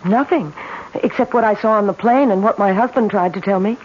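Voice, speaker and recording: An actress playing an anxious, frightened young wife in old-time radio drama style. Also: female voice, medium pitch, a little fast, darkish tone, natural voice. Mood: nervous, frightened. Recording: muffled, noisy old radio recording.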